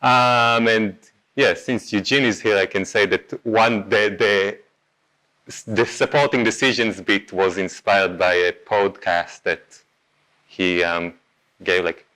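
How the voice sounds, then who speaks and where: A man's voice: fairly low pitch, fairly even intonation, bright, forceful tone, low-quality recording. Israeli man giving a casual talk. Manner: casual, halting, many ums and self-corrections. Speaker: meetup speaker. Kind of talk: conference talk.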